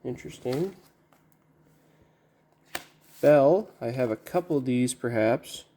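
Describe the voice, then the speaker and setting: A man giving casual, halting unboxing commentary as a collector, musing to himself in a low-key way. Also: warm tone. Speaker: record collector presenting an unboxing.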